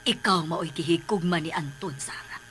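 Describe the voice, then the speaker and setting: A woman playing an earnest middle-aged mother in a radio drama, speaking with breathy emotional emphasis. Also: fairly low pitch, moderate pace, fairly bright tone, natural voice. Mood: pleading. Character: earnest, pleading middle-aged mother, protective of her sons.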